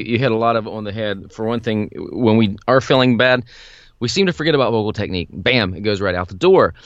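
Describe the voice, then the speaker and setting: A man co-hosting a podcast about singing, talking in a confident, brisk, plain-spoken way. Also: medium pitch, clear, resonant tone, no echo. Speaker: vocal coach and author, podcast co-host. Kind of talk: casual podcast chat. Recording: dull, lo-fi dry sound.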